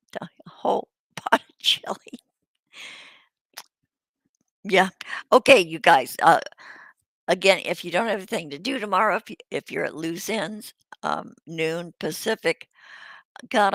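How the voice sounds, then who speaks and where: Woman host losing her voice, kinda low and gravelly, dry close sound, rambly and sweary. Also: a bit bright, no echo. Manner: rambling, swears freely, laughs often. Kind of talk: casual livestream chat.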